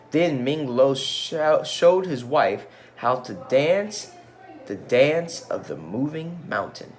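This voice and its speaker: Very animated man reading a storybook. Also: mid-range voice, a little hiss or hum. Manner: expressive, engaging listeners with questions. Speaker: storybook reader. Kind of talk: expressive children's read-aloud with casual asides.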